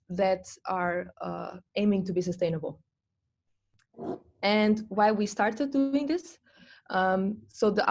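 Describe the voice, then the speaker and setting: A woman's voice: mid-range voice, a little mellow. A young adult woman from Portugal presenting an online design workshop over a video call, with frequent ums and restarts. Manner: hesitant, many ums and restarts.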